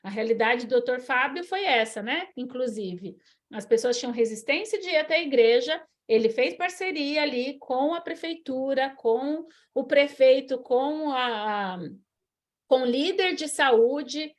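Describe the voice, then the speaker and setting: High-voiced Brazilian woman hosting. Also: measured pace. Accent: Brazilian Portuguese. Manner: warm encouraging preacherly, addresses listeners as queridos. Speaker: host. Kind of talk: online church health class talk.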